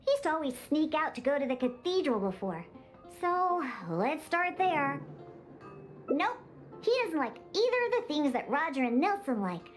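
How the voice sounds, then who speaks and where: A woman's voice: high voice, big pitch swings, moderate pace, faint music behind. An actress playing a feisty young cat-girl bartender in a video game, with a cutesy, bossy, indignant manner. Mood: eager, bossy. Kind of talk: video game character acting.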